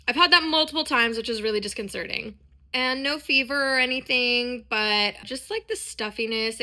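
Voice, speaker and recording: A woman's voice: mid-range voice, bright, forceful tone. A woman in her thirties, congested with a sore throat, chatting casually and candidly as in a personal vlog. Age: 30s. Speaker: dance and performance vlogger.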